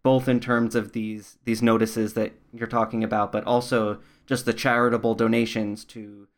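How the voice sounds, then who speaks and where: Guy doing an interview, kinda flat pitch, thoughtful and careful how he puts things. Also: normal speed. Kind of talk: conversational interview.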